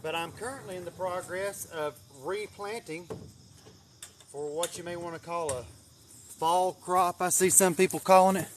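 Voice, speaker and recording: A man's voice: high voice, fairly even intonation, normal speed, slight background noise. A man chatting casually on an outdoor livestream as he gives a garden tour, with a folksy, rambling manner and some mild swearing. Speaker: livestream host showing his greenhouse garden.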